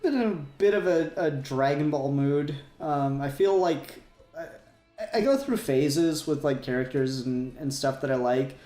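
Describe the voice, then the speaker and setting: A man's voice: fairly high pitch, unhurried, dark tone, echoey room, soft background music. A man hosting a casual fan discussion podcast, talking in a rambling way and often correcting himself. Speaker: podcast host.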